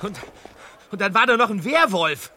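An actor playing an excitable, well-informed teenage boy detective sidekick in an audio drama, eager and exclaiming. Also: high voice, moderate pace, slight background noise, full character voice. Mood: excited, chatty. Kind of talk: German audio drama acting.

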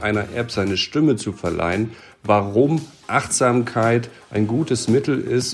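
A man hosting a podcast, introducing his guest and asking friendly, relaxed questions. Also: on the low side. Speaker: podcast host, newspaper editor-in-chief. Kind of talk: podcast interview.

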